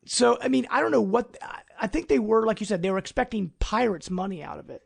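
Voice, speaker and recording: A man's voice: high-pitched, animated, quick pace, vocal fry, husky. A man speaking as a podcast host in a casual discussion.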